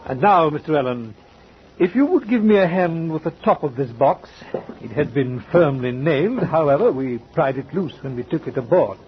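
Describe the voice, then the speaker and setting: A man as a courteous, lively-voiced sea captain. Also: a little high, normal speed, close to an everyday voice. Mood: composed. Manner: formal, courteous, matter-of-fact with small hesitations. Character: polite, composed male sea captain. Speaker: ship's captain. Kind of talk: 1940s radio acting. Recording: dull old radio recording with clear hiss.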